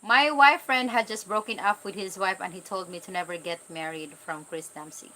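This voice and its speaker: A woman's voice: medium pitch, moderate pace, sharp tone, rough recording. Casual Filipino-accented woman chatting candidly. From Philippines. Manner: candid, informal, talking directly to viewers. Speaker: vlogger answering live chat comments. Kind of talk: casual livestream chat.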